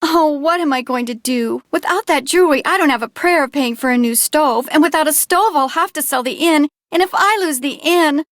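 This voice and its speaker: A woman's voice: high-pitched, brisk, hardly any pauses, no room sound, clean studio sound, lightly acted. A young woman actor playing an anxious, earnest innkeeper in a video game, with a fretful, confiding manner. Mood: distressed. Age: young adult.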